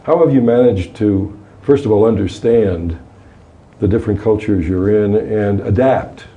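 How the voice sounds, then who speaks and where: A man's voice: fairly low pitch, unhurried, phone-quality sound. A man interviewing a guest, asking long questions he rephrases as he goes.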